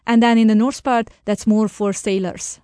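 A woman's voice: on the high side, fairly even intonation, normal speed, warm tone, smooth, no room sound, studio quality. A woman from northern Hungary with a Hungarian accent, a radio interview guest who speaks carefully and agrees emphatically.

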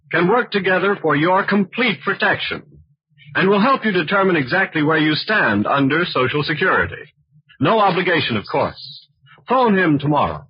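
A man working as an announcer, reading a scripted sponsor pitch in 1940s radio style. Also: breathy edge. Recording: dull old radio recording.

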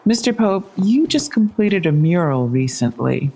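A woman's voice: lowish voice, very animated, a little slow, warm tone, a little hiss or hum. A woman playing a persistent, sardonic police detective in an audio drama, questioning in a pointed, pressing way. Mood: sardonic, probing.

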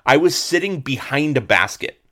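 A man in his thirties hosting a casual sports podcast, slightly hoarse, rambling with lots of fillers and restarts. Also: fairly high pitch, animated, normal speed, fairly bright tone. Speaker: podcast host and sports reporter.

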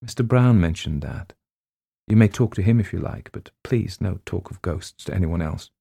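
A man voicing a brisk, matter-of-fact middle-aged female schoolteacher in a read story. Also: low-pitched, creaky, mellow, husky, dry recording, clean studio sound, exaggerated voice.

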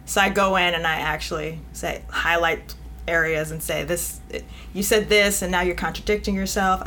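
A woman guest, an editor, talking casually in an interview, animated and often laughing, with many "you know" fillers. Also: lowish voice, a little hiss or hum. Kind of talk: conversational interview.

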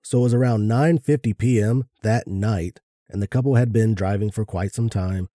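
A man's voice: on the low side, moderate pace, dark tone, dry recording, studio quality. A man co-hosting a casual chat and reading out research. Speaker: podcast co-host.

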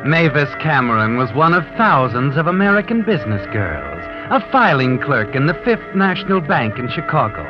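A man's voice: mid-range voice, sing-song, normal speed, few pauses, lightly acted. Male actor as ominous knowing storyteller, dramatic rise and fall, portentous, muffled 1940s radio with music behind. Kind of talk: 1940s radio mystery narration.

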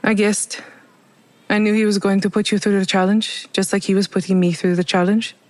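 A woman's voice: medium pitch, little pitch movement, brisk, a little mellow, close to an everyday voice. An actress playing a guilt-ridden, vengeful woman who speaks tersely and without repentance in an audio drama. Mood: grim, resigned.

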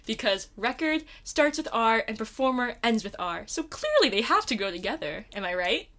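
A woman's voice: fairly high pitch, very animated, normal speed, a bit bright. A young woman co-hosting a podcast in casual chat, with a playful, gushing and emphatic way of talking. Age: young adult. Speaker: podcast co-host.

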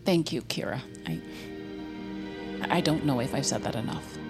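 A woman's voice: extremely low pitch, big pitch swings, normal speed, music in the background, full character voice. An actress playing an earnest, troubled woman investigating an occult mystery in an audio drama. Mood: grave.

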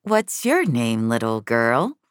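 A woman's voice: extremely low pitch, wildly swooping pitch, clear, resonant tone, no echo, clean studio sound, full character voice. A woman playing a sardonic, tough but socially awkward woman on the run in an audio drama. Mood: awkward, flustered. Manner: awkward and halting, or dryly defiant.

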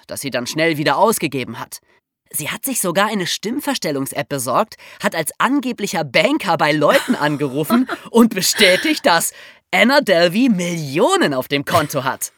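A woman's voice: on the low side, no room sound. German woman telling a story on a podcast, voice goes up and down a lot, laughs a bunch, dry close sound. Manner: lively, laughs often, wry asides. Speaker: podcast host. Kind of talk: casual storytelling chat.

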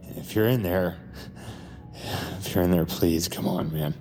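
An actor playing a frantic, wisecracking captive who rambles sardonically and interrupts himself in an audio drama. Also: male voice, deep voice, unhurried, dark tone. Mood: pleading. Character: frantic, wisecracking male captive unravelling under confinement. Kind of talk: audio fiction acting with narrated asides. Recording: music under the voice.

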